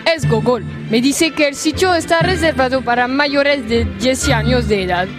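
A teenage woman playing a vain, excitable older knight in a student audio drama, with a pompous, self-admiring manner. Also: sits high, moderate pace, music in the background, natural voice. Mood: agitated.

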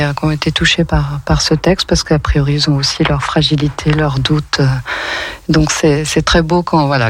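A middle-aged woman poet talking as a guest in a relaxed radio chat, pausing often with fillers. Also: deep voice, breathy edge. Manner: hesitant, many fillers and restarts. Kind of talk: casual radio conversation.

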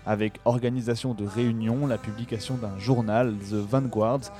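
Guy reading a radio documentary script, soft dark mellow tone, bit of music under him. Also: mid-range voice, fairly even intonation, normal speed. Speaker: radio show presenter.

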